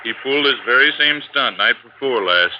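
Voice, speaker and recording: A man's voice: mid-range voice, expressive, a little fast, natural voice. A man playing a plain-spoken, skeptical lake boatman in 1950s radio drama style. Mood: wry, doubtful. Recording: muffled, noisy old radio recording.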